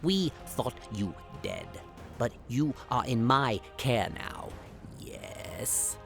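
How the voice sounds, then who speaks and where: Radio play: deferential, scheming alien warden, gravelly, extremely slow and halting delivery. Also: male voice, mid-range voice, sing-song, music in the background, full character voice. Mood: careful, probing. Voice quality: gravelly, creaky. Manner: halting, drawn-out speech with questioning tags.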